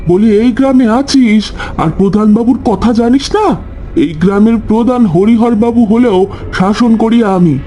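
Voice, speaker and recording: Man voicing a haughty, high-pitched scolding housemaid. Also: moderate pace, warm tone. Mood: haughty, threatening. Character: spiteful, bossy village housemaid woman, scheming and threatening. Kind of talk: cartoon dialogue.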